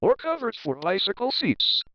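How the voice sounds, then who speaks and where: A man's voice: high voice, wildly swooping pitch, moderate pace, bright, forceful tone, no room sound, voice pushed up, exaggerated voice. A man playing a secretive old hermit storyteller, greedy and defensive, reciting dramatically in rhyme. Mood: defensive, boastful.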